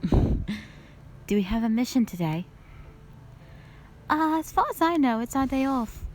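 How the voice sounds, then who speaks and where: A woman's voice: on the high side, big pitch swings, measured pace, a little mellow. A woman voicing a playful, teasing young female soldier, a bubbly and informal best friend in an audio drama. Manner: playful, informal, whiny when tired. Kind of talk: dramatic audio roleplay acting.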